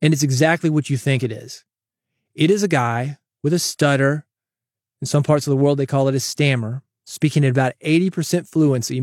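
A man's voice: on the high side, darkish tone, no room sound, clean studio sound. A man hosting his own solo podcast, talking casually and coaching listeners, often calling them "man". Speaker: podcast host.

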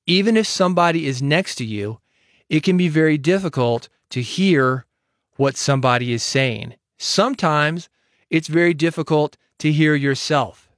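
A man's voice: a little high, moderate pace, a bit bright, dry recording. Man hosting a dry, close-mic podcast. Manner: direct, instructive, confident. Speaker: podcast host. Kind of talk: solo podcast talk. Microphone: studio microphone.

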